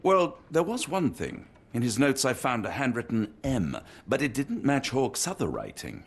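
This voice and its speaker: An actor playing a loyal, principled Victorian doctor in a video game, British, earnest and formally articulate. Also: male voice, medium pitch, wildly swooping pitch, normal speed, breathy edge, full character voice. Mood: grave, sincere. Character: loyal, principled Victorian male doctor, steadfast companion to detective. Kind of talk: video game cutscene acting. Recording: music behind the voice.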